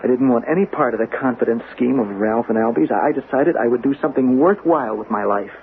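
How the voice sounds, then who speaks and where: A man's voice: mid-range voice, vocal fry, lightly acted. Man acting desperate young man, tinny old radio. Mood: uneasy, resolute. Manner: halting, pleading, stammering. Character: defensive young man accused of murder, desperate and bitter. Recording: noisy, narrow-band vintage radio recording.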